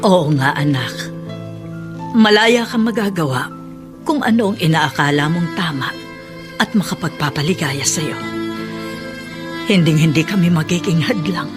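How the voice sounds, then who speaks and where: A woman's voice: deep voice, lively intonation, unhurried, pauses often, over music. An actress playing a tender mother who encourages her son to stand firm. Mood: encouraging. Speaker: Jester's mother.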